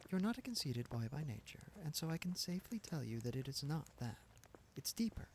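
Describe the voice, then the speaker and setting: A man's voice: on the high side, very animated, fairly quick, a little mellow, slight background noise, pitched above the performer's own voice. A man voicing an earnest, idealistic young woman who speaks in a lofty, lightly reproving way, read as an audiobook character. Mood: gentle.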